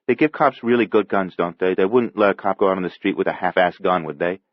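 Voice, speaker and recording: A man's voice: sits low, quick pace, no echo, highs cut off, close to an everyday voice. A man voicing a small seven-year-old boy, anxious and stubborn, with childish, insistent questioning in a storybook reading. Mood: anxious pestering.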